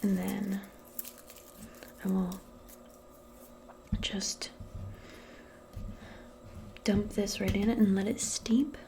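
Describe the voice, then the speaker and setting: A woman murmurs in a soft, breathy voice that often drops to a whisper. Her tone is dark and mellow, and she takes her time. She plays a modern witch in a casual, gentle ASMR personal attention session, with faint ambient noise behind her. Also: mid-range voice, flat delivery, drawn-out words, pauses often. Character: modern witch giving a calming spell appointment. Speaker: ASMR role-play host.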